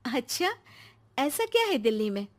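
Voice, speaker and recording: A woman's voice: high-pitched, very animated, moderate pace, voice pushed up. A woman voicing an easily amazed young daughter-in-law in a cartoon story, exclamatory and wide-eyed. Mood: curious.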